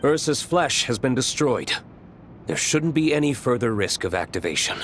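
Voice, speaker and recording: A man's voice: medium pitch, very animated, normal speed, a bit bright, a little hiss or hum. A man voicing a stern, commanding middle-aged grandmaster of knights in a video game, speaking tersely and with authority. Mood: matter-of-fact.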